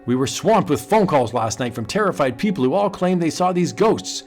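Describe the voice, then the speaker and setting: A man voicing a gruff older male police commissioner in an old cartoon, brusque and commanding. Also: fairly high pitch, animated, normal speed, darkish tone, soft background music, lightly acted.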